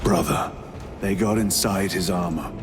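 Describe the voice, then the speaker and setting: A man's voice: deep voice, unhurried, over music. An actor playing a resolute male armored squad leader who gives firm, commanding orders. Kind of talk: video game cutscene acting.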